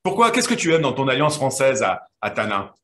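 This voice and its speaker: A man's voice: mid-range voice, sing-song, brisk, fairly bright tone, reverberant. A man hosting an online quiz final, interviewing the winners in a friendly, hesitant way with many fillers. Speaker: host.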